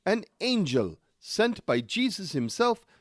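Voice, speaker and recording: A man's voice: sits high, very animated, slow, dry recording, clean studio sound, full character voice. A man playing an indignant, sanctimonious Christmas zealot in a satirical radio drama, pompous and pedantic in his delivery. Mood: indignant, preachy.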